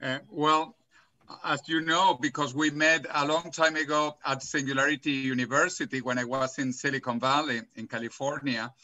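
A man's voice: mid-range voice, moderate pace. A middle-aged man from Venezuela, speaking emphatically as a guest on a video call panel. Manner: emphatic, animated hand gestures. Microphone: webcam on video call.

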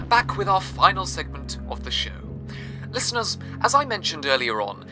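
A man playing a grandiose old-time radio presenter. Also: fairly high pitch. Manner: theatrical, self-congratulatory. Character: cheerful, grandiose male radio presenter in a rusting city. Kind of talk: 1940s radio presenter acting.

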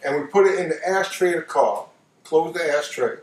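A man's voice: a little high, animated, fairly quick. An older American man telling a personal story casually and colloquially, repeating phrases for emphasis. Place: living room couch, indoors. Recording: roomy, distant microphone.

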